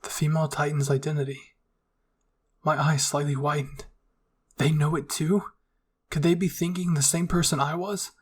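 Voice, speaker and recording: Male narrator for ASMR fan fiction, higher-pitched, husky tone. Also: fairly even intonation, normal speed, long pauses.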